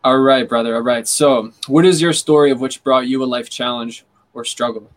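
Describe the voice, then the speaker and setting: A man's voice: medium pitch, fairly even intonation, moderate pace. A man hosting a podcast, reading a scripted introduction and reacting with enthusiasm. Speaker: podcast host.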